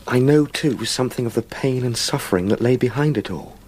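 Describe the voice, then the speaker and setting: An actor playing a young English solicitor in a radio drama, earnest, gentle and formally polite, humbled and haunted as he recovers from illness. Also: medium pitch, fairly quick, breathy edge, lightly acted. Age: young adult.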